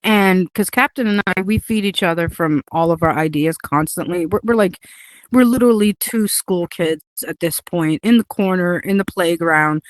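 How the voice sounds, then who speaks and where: A woman's voice: lowish voice, normal speed, dry recording, low-quality recording. A woman whose first language is Hungarian, chatting casually about building a game, rambling with many fillers and laughs. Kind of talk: casual chat.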